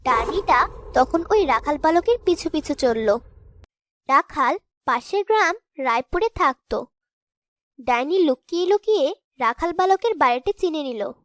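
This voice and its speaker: A woman's voice: high-pitched, no room sound. A woman storyteller giving scripted fairy-tale narration for a cartoon.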